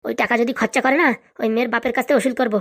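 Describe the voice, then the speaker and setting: A performer voicing an indignant, boastful young man in a comedy cartoon, excitable and swaggering, with a rural Bengali accent. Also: mid-range voice, rapid-fire, close to an everyday voice. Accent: Bengali, rural dialect.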